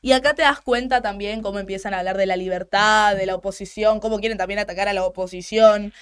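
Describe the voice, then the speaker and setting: A woman's voice: mid-range voice, fairly even intonation, fast, fairly bright tone, clean tone. A young woman with an Argentine accent hosting a radio talk show, giving outspoken political commentary and often repeating words as she restarts. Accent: Argentine Spanish. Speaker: radio host. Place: radio studio.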